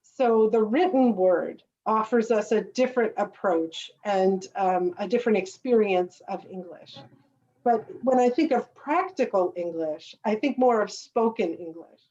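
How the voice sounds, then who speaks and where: An American woman giving a friendly, measured talk to students over a webinar. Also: mid-range voice, dark tone. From United States. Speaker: guest speaker. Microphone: video call. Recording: distant, dull lo-fi webinar audio.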